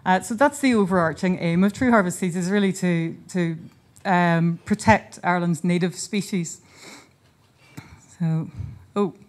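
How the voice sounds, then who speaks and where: Lowish-voiced woman presenting. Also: a little mellow. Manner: earnest, informal, halting with frequent restarts. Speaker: conference speaker and seed charity founder. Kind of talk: conference presentation. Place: hotel conference room.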